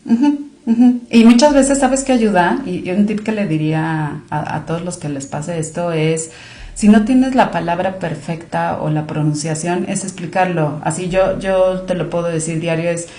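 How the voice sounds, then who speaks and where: A woman's voice: lowish voice, normal speed, warm tone. A Mexican woman speaking as a podcast guest, chatting casually and animatedly with frequent fillers. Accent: Mexican Spanish. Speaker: podcast guest, clinical monitoring director. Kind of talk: casual conversational interview.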